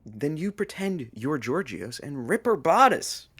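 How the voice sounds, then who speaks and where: An actress playing Frank, a radio producer sidekick, with a dry, brisk, teasing way of talking. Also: female voice, deep voice, sing-song, fairly bright tone, slightly rough, full character voice. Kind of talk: scripted fiction podcast banter.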